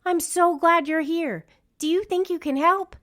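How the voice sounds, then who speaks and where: A woman's voice: very high voice, a little slow, fairly bright tone, pitched above the performer's own voice. A woman voicing a friendly, dramatic, heartfelt male singing star in a children's storybook. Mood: relieved, pleading.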